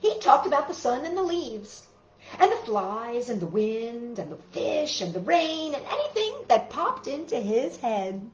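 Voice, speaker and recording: A woman hosting a storytime for children, reading aloud in an expressive, casual way and putting questions to young listeners. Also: on the high side, unhurried, reverberant, lo-fi sound, slight background noise. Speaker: storytime host reading picture books to children.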